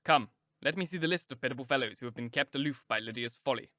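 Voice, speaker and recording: A man's voice: medium pitch, quick pace, sharp tone, dry recording, close to an everyday voice. A man reading an audiobook, voicing a dry, teasing middle-aged father in a sardonic manner. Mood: wry.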